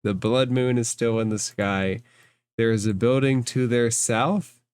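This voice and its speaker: A man's voice: mid-range voice, steady tone, measured pace, darkish tone, smooth. A man acting as game master, narrating a fantasy roleplaying game in formal phrases and putting questions to the players.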